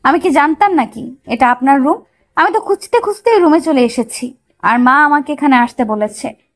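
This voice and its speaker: A woman's voice: sits high, lively intonation, normal speed. A woman playing a shy but feisty young bride in an audio story, bashful and protesting. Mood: indignant.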